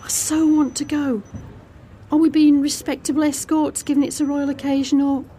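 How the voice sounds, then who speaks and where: A woman's voice: high-pitched, level tone, moderate pace, mellow, a little hiss or hum, close to an everyday voice. A woman playing an anxious but determined young escort in an intimate, conversational audio drama monologue. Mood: bright, eager. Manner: confiding, conversational.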